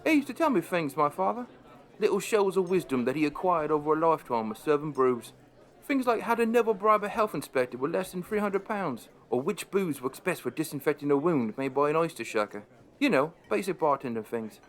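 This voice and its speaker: A man's voice: a little high, big pitch swings, fairly quick. An actor playing a wry, chatty pub landlord, narrating his story in a conversational way for an audio drama.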